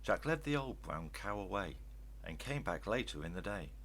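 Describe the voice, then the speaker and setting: An older man reading rhyming children's stories aloud in an expressive, theatrical style. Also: low-pitched, normal speed, a little hiss or hum. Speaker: children's story reader.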